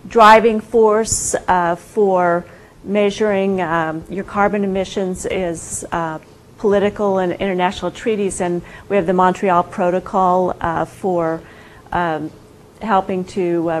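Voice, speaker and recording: A soft-spoken woman giving an informal guest lecture, hesitant, with many fillers and restarts. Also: fairly low pitch, slight background noise, rough recording. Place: university lecture room.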